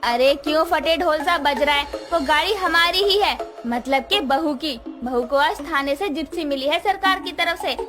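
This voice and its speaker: A woman acts a middle-aged mother-in-law from a cartoon story. She is homely and blunt, with a high voice. Music is clearly heard behind her. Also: normal speed, few pauses, lightly acted. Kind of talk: Hindi cartoon story voice acting.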